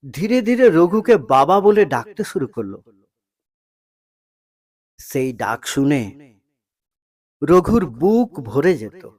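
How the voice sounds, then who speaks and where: A man narrating a scripted story in a dramatic, emotive way, pausing between short phrases. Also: fairly high pitch, a little mellow, dry recording.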